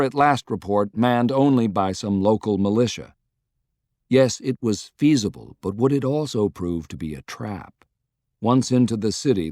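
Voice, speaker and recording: A man's voice: on the low side, darkish tone, no room sound, studio quality. A man reading a historical novel as scripted, dramatic audiobook narration.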